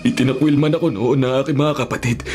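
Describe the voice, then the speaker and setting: An actor playing a devout, forgiving governor and loving son in a Filipino radio drama, speaking solemnly in formal diction. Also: male voice, medium pitch, fairly even intonation, fast talker, lightly acted. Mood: grieving, tearful. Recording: radio drama with music underneath.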